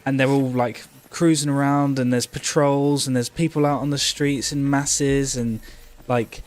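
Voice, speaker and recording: A man's voice: mid-range voice, level tone, unhurried. A man co-hosting a casual gaming livestream, thinking aloud in a rambling, sweary way. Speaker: game streamer co-host.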